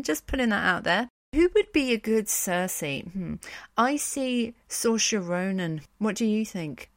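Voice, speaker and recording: A woman's voice: mid-range voice, a bit bright, dry recording, clean studio sound. A woman hosting a podcast, giving conversational commentary with wry, informal asides. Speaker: podcast host and storyteller. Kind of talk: conversational commentary on myth and books.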